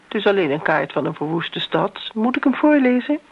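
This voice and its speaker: An actress playing an insistent middle-aged woman in a radio drama, probing and pointed, with a husky voice. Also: mid-range voice, sing-song, a little fast, a little hiss or hum. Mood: curious, pointed. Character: insistent grown daughter confronting an older family friend. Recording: dull, lo-fi radio drama recording.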